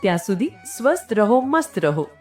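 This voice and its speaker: Brief: female narrator for moral folk tales, measured and earnest, mid-pitched, light music bed. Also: big pitch swings, a little mellow. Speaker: storyteller and channel host. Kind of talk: scripted moral story narration. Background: background music.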